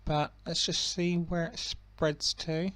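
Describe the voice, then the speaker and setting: A young man with a croaky voice giving relaxed, rambling commentary on a strategy game, with many ums and self-corrections. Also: a little high, takes it slowly, no echo. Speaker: gaming channel host.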